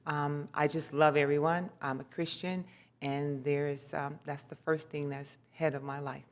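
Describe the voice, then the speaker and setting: A woman with a very low voice introduces herself at a city council meeting. She speaks in a heartfelt way. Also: little pitch movement, a little slow. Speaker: city commission member. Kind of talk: public meeting remarks.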